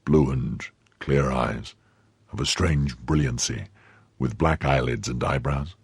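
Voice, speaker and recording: Man acting phlegmatic gentleman musketeer, deep, terse, measured, commanding, audiobook character. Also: very animated, slightly rough, no echo, exaggerated voice. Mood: subdued, intent.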